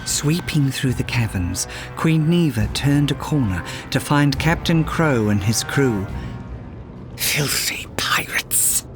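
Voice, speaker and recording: A storyteller giving an expressive scripted reading of a children's fairytale, with music underneath. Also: mid-range voice, measured pace, few pauses.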